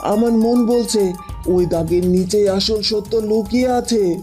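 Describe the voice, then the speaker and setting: A woman voicing a cunning, imperious sorceress in a cartoon fairy tale, speaking with deliberate menace. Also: mid-range voice, dark tone, soft background music, natural voice. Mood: menacing. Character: commanding female tantric sorceress, cunning villainous mentor.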